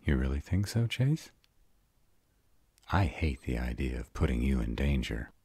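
A man's voice: rumbling low, very animated, unhurried, mellow, slightly rough, dry recording, heavily acted. A man playing a sarcastic bad-boy vampire in an audio roleplay drama, drawling, guarded but devoted. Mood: earnest, worried.

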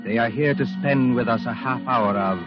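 A man narrating a 1940s radio suspense show in a solemn, measured, theatrical way. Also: medium pitch, close to an everyday voice. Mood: solemn, suspenseful. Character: ominous male narrator of a suspense tale. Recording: dull old 1940s radio broadcast. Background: loud music.

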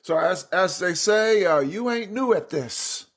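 A man hosts an interview podcast. His voice sits high for a male speaker. He delivers prepared introductions and puts questions to a guest. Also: animated, unhurried, fairly bright tone. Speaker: podcast host.

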